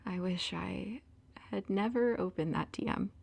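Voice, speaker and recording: A woman's voice: medium pitch, a little slow, gravelly, darkish tone, slightly rough, dry recording. A young woman playing an anxious, wounded girlfriend in an audio drama. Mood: hurt, distressed. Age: young adult.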